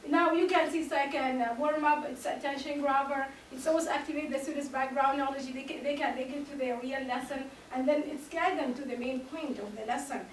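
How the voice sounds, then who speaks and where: High-voiced woman presenting in a classroom. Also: fairly even intonation. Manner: halting, loosely phrased explaining. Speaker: student presenter. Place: large dim classroom. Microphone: far camera. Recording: echoey room from far off, noisy.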